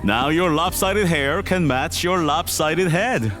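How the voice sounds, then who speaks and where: A man's voice: a little high, lively intonation, slow, soft background music. An actor playing a caring, firm middle-aged Korean businessman father with a dry manner in an audio drama. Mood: dryly fond.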